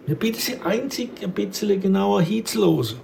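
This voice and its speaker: A small-town police investigator in a radio drama, with a Baden accent. The voice is a little high and creaky, the questioning pointed and stubborn, with distrust in it. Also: male voice, lively intonation, brisk, over loud music, heavily acted. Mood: insistent, suspicious. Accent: southwest German (Baden).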